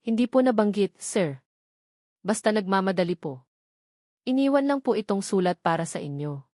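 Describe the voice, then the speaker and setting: A courteous older landlady answers politely and respectfully, as a woman narrator voices her. Her pitch is moderate, and the recording is dry and close. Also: lively intonation, a little mellow, no room sound, close to an everyday voice. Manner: polite, respectful, hurried telling.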